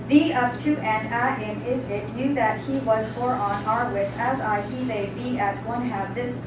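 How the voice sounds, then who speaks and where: A woman's voice: a little high, steady tone, normal speed, close to an everyday voice. An actress playing an obedient, baffled female pupil who recites by rote in a live stage reading. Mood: reciting, unsure. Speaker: stage actor in a dramatic reading. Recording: echoey hall from far off, noisy.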